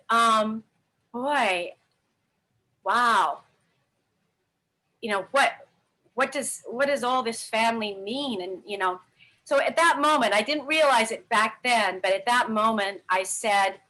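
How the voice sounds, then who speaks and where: A woman's voice: medium pitch, bright, forceful tone, poor audio. A middle-aged woman telling her life story candidly in an interview, rambling with many fillers and restarts. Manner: frank, earnest. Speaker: interview guest.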